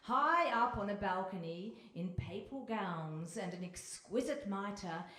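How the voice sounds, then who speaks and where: Unhurried woman storyteller in echoey hall. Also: medium pitch, expressive, bright, forceful tone. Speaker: narrator. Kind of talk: scripted storybook narration, performed live. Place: live stage in a hall with audience. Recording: echoey hall, clearly noisy.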